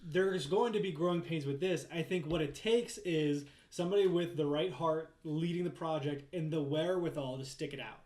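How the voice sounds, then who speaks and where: A man with a slightly high voice talks as a podcast co-host in a casual panel chat. He explains his opinions at length. The recording has a clearly echoey room sound. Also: moderate pace, few pauses, a little mellow. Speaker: podcast co-host discussing gaming news. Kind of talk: casual panel chat about pop culture.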